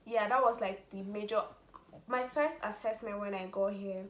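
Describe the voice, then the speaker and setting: A woman's voice: medium pitch, fairly even intonation, moderate pace. A young woman with a Nigerian accent, chatting casually and animatedly about student life, laughing often. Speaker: vlogger, international student. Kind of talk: casual chat. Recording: echoey room with faint ambience.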